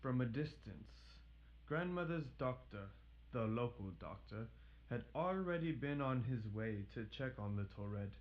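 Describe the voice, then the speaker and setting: A man's voice: medium pitch, animated, unhurried. Man narrating, slightly muffled recording. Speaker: storyteller. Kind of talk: scripted fairy-tale narration.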